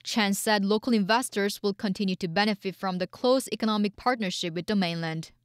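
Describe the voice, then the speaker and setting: A woman's voice: medium pitch, a little fast, clean tone, dry recording. A woman reporting the news in scripted narration.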